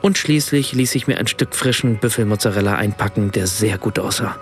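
A man's voice: lowish voice, brisk, loud music behind the voice, lightly acted. An actor playing a reflective German writer and father, narrating his own everyday story in a scripted radio play. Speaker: first-person narrator.

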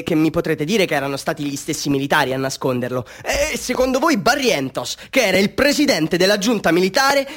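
An actor playing a bitter, half-mad village man telling his tale in a theatrical radio drama monologue, swinging between hushed confiding and fervent declaiming. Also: high voice, heavily acted.

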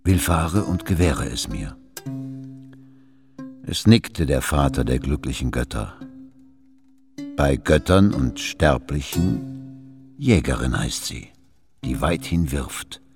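A very deep male voice recites like a solemn bard. The verse is declaimed slowly and reverently, with clear plucked-string music behind it. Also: expressive, full character voice. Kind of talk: solemn poetic recitation in radio drama. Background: plucked guitar-like music.

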